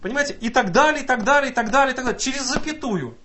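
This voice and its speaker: Fast, earnest high-voiced male preacher. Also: animated, bright, forceful tone. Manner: earnest, exhorting, quotes scripture and mimics doubters. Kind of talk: sermon.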